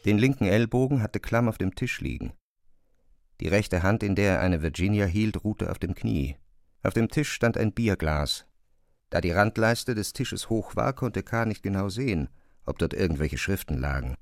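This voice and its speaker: A male narrator reads scripted literary prose in a fairly low voice. The recording is very dry and close, without any room sound. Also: expressive, moderate pace, creaky, no room sound.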